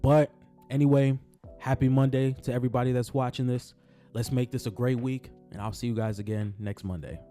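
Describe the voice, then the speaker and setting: A man talking casually about basketball in his own vlog, his voice raspy from just waking up, laid-back and repeating himself as he speaks from the heart. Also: medium pitch, steady tone, a little fast, dark tone, faint music behind. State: raspy morning voice. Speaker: sports vlogger. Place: on his way to work.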